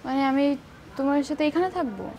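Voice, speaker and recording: A woman's voice: high-pitched, animated, quick pace, a little mellow, a little hiss or hum. A woman with a Bangladeshi Bengali accent playing a teasing, sceptical young girlfriend in a TV drama, coy and chiding as she questions. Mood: sceptical, firm. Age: young adult. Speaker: actor. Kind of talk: naturalistic TV drama dialogue.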